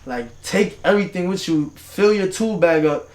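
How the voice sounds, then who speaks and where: Young male guest, animated storyteller, drifts onto tangents, echoey room. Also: high voice, normal speed. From Turkey, raised in Michigan and New York. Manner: slangy, rambling, goes on tangents, laughs often. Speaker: guest, hip hop artist. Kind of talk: casual interview chat. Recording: roomy room echo.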